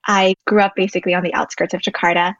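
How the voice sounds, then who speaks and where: A woman's voice: lowish voice, flat delivery, fast, fairly bright tone, dry recording. A young woman from Indonesia, a podcast guest, talking at length in an earnest, thoughtful way. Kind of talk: podcast interview. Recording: dull lo-fi dry recording.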